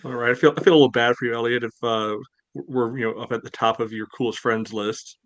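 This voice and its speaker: A man's voice: medium pitch, steady tone, quick pace, fairly bright tone. A man co-hosting a casual sports podcast, wry and joking with his friends. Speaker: podcast co-host.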